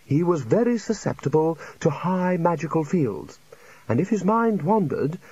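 A man voicing a calm, rambling old academic wizard in an audiobook, slow and given to reminiscing. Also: on the high side, slightly rough, dull audio, pitched above the performer's own voice, full character voice.

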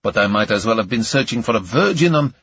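Man playing a weary, blunt young drifter, husky. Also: on the low side, lively intonation, quick pace, fairly bright tone, dry recording, lo-fi sound, full character voice. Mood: weary, bitter. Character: broke, sluggish, sardonic young male drifter on the phone. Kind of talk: audiobook character dialogue.

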